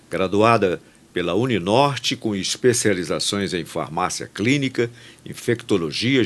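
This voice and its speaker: A Brazilian man works as a podcast host and interviewer. His voice sits fairly low and moves up and down a lot as he presents and asks questions conversationally. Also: a bit bright.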